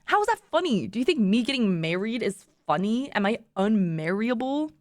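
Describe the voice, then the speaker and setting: A woman voicing an excitable, indignant young female streamer who is quick to bicker, in a story. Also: on the high side, no room sound, studio quality.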